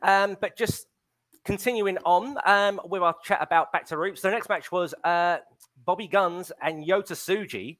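A man's voice: high-pitched, normal speed, a bit bright. A man in his thirties hosting a wrestling show on a video call, chatting casually and reading out viewer comments with a headset mic. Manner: reads viewer comments, many ums. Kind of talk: casual live-stream commentary chat. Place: home room on a video call.

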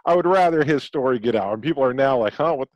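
A man co-hosting over a video call on a headset, talking in halting bursts with repeated restarts. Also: medium pitch, lively intonation, no echo, muffled recording. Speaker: podcast co-host. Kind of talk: casual video-call chat.

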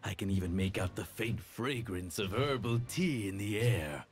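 A man's voice: lowish voice, moderate pace, faint music behind, close to an everyday voice. An actor playing a smug, cruel aristocratic father who is the villain, speaking theatrically with a sneer. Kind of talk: video game voice acting.

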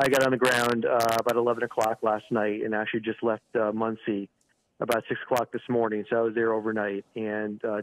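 A man giving a live news interview by phone, halting and repeating words, often saying "you know". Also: mid-range voice. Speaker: regional director of an advocacy organisation, interview guest by phone. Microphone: telephone. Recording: phone call with clear background noise.

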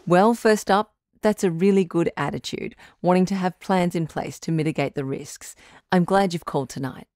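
Woman acting as radio advice doctor, low female voice, frank, reassuring, conversational, dry close studio sound. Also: normal speed, a little mellow, dry recording, close to an everyday voice. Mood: reassuring, practical. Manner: encouraging, frank, matter-of-fact. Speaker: radio advice show host.

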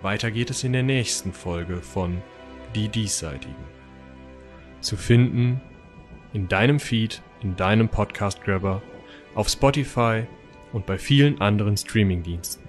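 A man delivers a scripted podcast outro for an audio series. His voice is fairly low, with music playing behind him. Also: a little slow.

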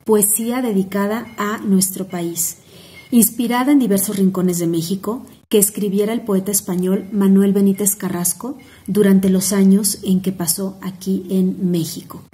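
A young woman with a Mexican accent recites poetry, savoring each word with a rhythmic, expressive delivery. Her voice is mellow and warm, close to a phone at home. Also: mid-range voice, normal speed. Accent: Mexican Spanish. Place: home room indoors.

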